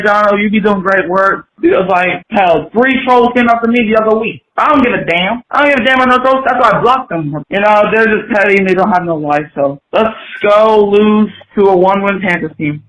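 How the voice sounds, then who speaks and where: A man's voice: high voice, brisk, hardly any pauses, a bit bright, a little hiss or hum. A man leaving a joking, rambling fan voicemail, blunt and offhand. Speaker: voicemail caller. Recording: roomy voicemail audio.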